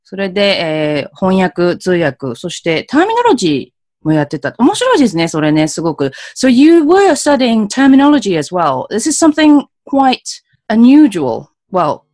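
A woman's voice: mid-range voice, brisk, dry recording, studio quality. A woman, hosting a friendly interview and laughing often as she chats. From Japan. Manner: animated, chatty, laughs often. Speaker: podcast host. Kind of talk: casual interview chat.

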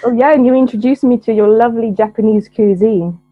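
A woman's voice: on the high side, no echo. Woman on a tinny line. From Romania. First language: Romanian. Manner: measured and articulate. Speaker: interview guest, interpreter. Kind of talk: casual interview chat.

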